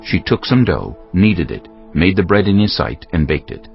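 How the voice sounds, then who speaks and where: A man's voice: low-pitched, very animated, normal speed, creaky. A man solemnly narrating a dramatized scripture story from a script. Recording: slightly dull audio with music bed.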